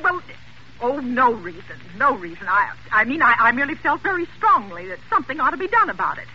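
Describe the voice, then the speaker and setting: Frantic, shrill, imperious 1940s-radio wife. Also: female voice, big pitch swings, brisk, sharp tone, heavily acted. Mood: flustered. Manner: agitated, stammering, haughty, indignant. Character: frantic, imperious, self-important married woman on the telephone. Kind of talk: golden age radio drama acting. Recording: vintage radio broadcast, muffled, hissy.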